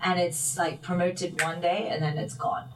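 Low-voiced woman, echoey and distant. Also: little pitch movement, moderate pace, noisy background. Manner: thoughtful, many fillers and restarts. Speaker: guest singer. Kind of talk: reflective interview talk. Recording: roomy and distant from the mic.

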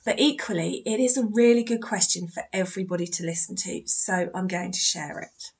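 A woman's voice: fairly low pitch, fairly even intonation, a little fast, gravelly, breathy edge. A British woman hosting a podcast, answering a listener's question in a chatty, self-deprecating way. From Britain. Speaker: podcast host, mediumship teacher.

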